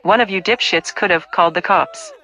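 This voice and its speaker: A woman's voice: fairly low pitch, fairly quick, bright, forceful tone. A woman playing a wry, foul-mouthed, blunt young heroine with a sardonic manner in an audio play. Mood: exasperated.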